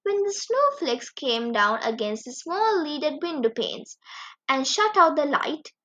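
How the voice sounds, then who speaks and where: A woman reading a children's story aloud as a storyteller, with expressive, animated delivery. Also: high voice, measured pace. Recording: dull lo-fi recording.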